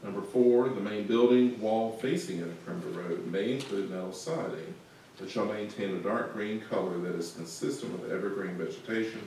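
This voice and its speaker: A male planning staff member with a Southern US accent, reading out conditions in a measured, methodical way at a public meeting. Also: lowish voice, dark tone. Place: large council meeting room. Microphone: room recording from far camera. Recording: echoey room, distant mic, faint noise.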